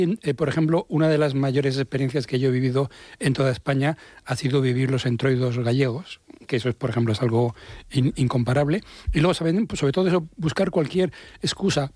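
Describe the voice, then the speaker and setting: A man's voice: mid-range voice, a little mellow, husky, no echo. A man, a seasoned traveler on a radio show, speaking reflectively and with enthusiasm. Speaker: travel journalist, show regular. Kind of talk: casual radio chat.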